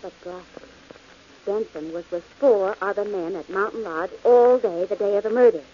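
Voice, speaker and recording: An actress playing a skeptical, dry-witted companion in an old radio drama. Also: female voice, mid-range voice, normal speed, dark tone, natural voice. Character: skeptical wry woman, detective's companion. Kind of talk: 1940s radio acting. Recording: dull old radio broadcast with hiss.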